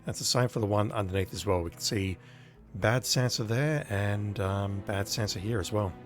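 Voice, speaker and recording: Croaky, low-voiced man streaming a game. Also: normal speed, faint music behind. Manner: rambling, chatty, apologetic. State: croaky from illness. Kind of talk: casual gameplay commentary.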